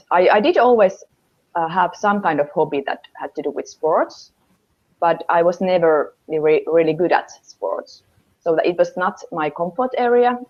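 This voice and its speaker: A young Finnish woman speaks English with a Finnish accent, her voice set a little low for a woman. She halts often and fills gaps with "uh" while she searches for words. The sound is dull and lo-fi, like a home video call. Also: darkish tone. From Finland. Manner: hesitant, searching for English words, many fillers. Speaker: interviewee. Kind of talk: casual interview answers. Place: small room at home, indoors.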